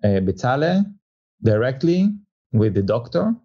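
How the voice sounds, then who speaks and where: A man's voice: medium pitch, very animated, measured pace, dark tone, slightly rough, no echo. Earnest man, dull dry sound. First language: not German; father is German. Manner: earnest, repeats words, searches for phrasing. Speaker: insurance company executive guest. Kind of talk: interview answers mixing English and German. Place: office in Hamburg.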